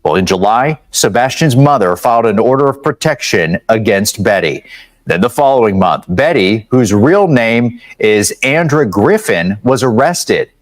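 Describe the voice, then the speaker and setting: A man's voice: mid-range voice, lively intonation, unhurried, dry recording. A man presenting a scripted television news report.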